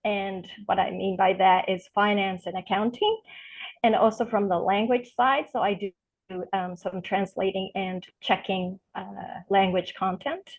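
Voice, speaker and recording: A woman on the staff introducing her finance and translation work, halting and full of fillers. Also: mid-range voice, fairly even intonation, vocal fry. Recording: tinny phone-like call audio.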